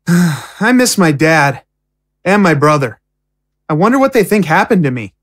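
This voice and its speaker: A woman's voice: deep voice, sing-song, a little slow, no echo, studio quality, full character voice. A woman playing an impatient, outspoken young prisoner in an audio drama, with a casual, indignant way of talking. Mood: reflective.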